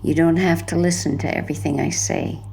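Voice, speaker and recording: A woman narrating a sleep hypnosis session in a soothing, hushed, gentle manner, letting her phrases linger like guided relaxation. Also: deep voice, fairly even intonation, brisk, vocal fry, breathy edge, rough recording.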